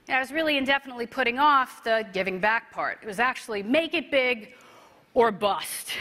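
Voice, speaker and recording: A woman in her thirties giving a rehearsed motivational keynote story, with emphatic delivery and dramatic pauses. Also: fairly high pitch, sharp tone. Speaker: motivational speaker. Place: convention stage, indoors. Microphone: clip-on lapel mic. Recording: echoey large hall.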